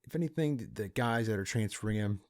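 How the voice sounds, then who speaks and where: A man playing a cynical, pragmatic partner in crime to a vigilante in an ASMR roleplay, talking in a casual, halting, conspiratorial way. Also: fairly low pitch, lively intonation, close to an everyday voice. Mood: dry, uneasy. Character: cynical, pragmatic male criminal accomplice to a vigilante.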